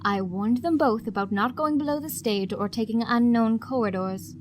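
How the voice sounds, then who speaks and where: A woman's voice: a little high, moderate pace, slight background noise, close to an everyday voice. A woman playing a stern, composed pony madam in an audio drama, with a formal, dry and reproachful manner.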